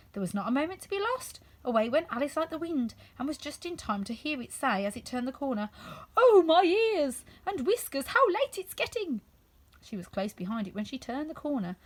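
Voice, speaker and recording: Animated, high-pitched woman storyteller. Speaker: storybook reader. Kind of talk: expressive children's story narration.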